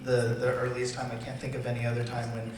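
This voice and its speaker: A man answers questions as a writer-producer at a public talk session, heard from a distance in a heavily reverberant hall. His pitch stays fairly flat as he picks his words haltingly. Clear noise sits over the recording. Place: large event hall, public presentation. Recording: very echoey hall, distant, noisy with interference.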